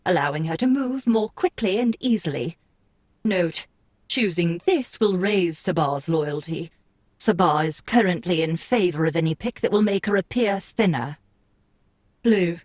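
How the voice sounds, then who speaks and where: A woman with a somewhat low voice reads game menu text for an audiobook. Her delivery is crisp and list-like. The recording is dry and close. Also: no room sound. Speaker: co-narrator reading game screens. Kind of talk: scripted audiobook reading.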